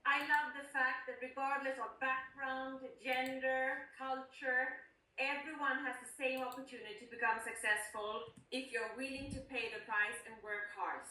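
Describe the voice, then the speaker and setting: Enthusiastic Swedish-accented businesswoman, forties, bright forceful tone, speaking in a heavily reverberant room a little off the mic. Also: sits high, a little hiss or hum. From Gothenburg, Sweden. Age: 40s. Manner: enthusiastic, earnest. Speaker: newly appointed sales leader. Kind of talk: self-introduction speech to colleagues. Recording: very echoey room, distant microphone.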